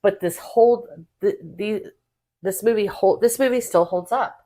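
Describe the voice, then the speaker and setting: A woman's voice: medium pitch, moderate pace, dark tone. A woman co-hosting a podcast, talking casually in discussion. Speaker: podcast co-host.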